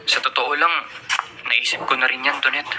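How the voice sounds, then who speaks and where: A man's voice: mid-range voice, level tone, moderate pace, natural voice. A young man playing an aggrieved but polite student son in a Filipino radio drama, earnest and plaintive. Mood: hurt, bitter. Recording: very noisy radio drama recording.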